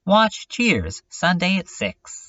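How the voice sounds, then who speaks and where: A man's voice: high voice, wildly swooping pitch, takes it slowly, fairly bright tone, no echo, voice pushed up, exaggerated voice. A man voicing a brash, absurd TV commercial announcer in a declamatory, salesman-like parody voice-over. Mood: hyped. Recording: dull lo-fi recording, distant mic. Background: music.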